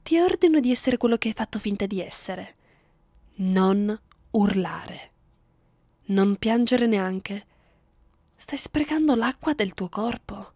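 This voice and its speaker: A woman voicing a cruel, bored, centuries-old female vampire in a close ASMR roleplay, condescending and deliberate, often slipping into whispers. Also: mid-range voice, expressive, darkish tone, no room sound. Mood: scornful, commanding. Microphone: close microphone, dry sound.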